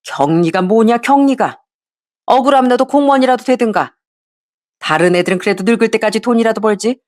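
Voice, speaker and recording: Woman putting on a shrill scolding old mother-in-law. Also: a little fast, lightly acted. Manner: sharp, nagging, raises voice to yell. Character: scolding bossy older Korean mother-in-law.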